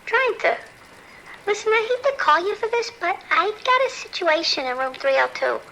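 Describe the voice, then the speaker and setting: Kind hotel desk worker played by a woman: strikingly high, anxious, familiar, slight noise behind, audio drama. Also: very animated, normal speed, gravelly, bright, forceful tone, exaggerated voice, low-quality recording. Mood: worried, sympathetic. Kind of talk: naturalistic audio drama acting.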